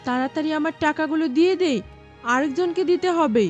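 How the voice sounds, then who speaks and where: Woman voicing a high, dull-sounding bossy pigeon. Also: a little fast, soft background music, natural voice. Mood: threatening. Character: demanding money-lending pigeon, stern and pushy neighbour. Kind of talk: children's cartoon voice acting.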